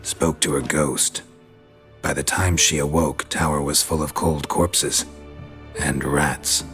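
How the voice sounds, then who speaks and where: An actor playing a gruff, stoic monster hunter in a video game, speaking in terse, deadpan, gravelly lines. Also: male voice, a bass voice, slow pace, exaggerated voice. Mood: grim, matter-of-fact. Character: gruff, stoic male monster hunter, middle-aged, wary and blunt. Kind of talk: video game dialogue acting. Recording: loud game music behind.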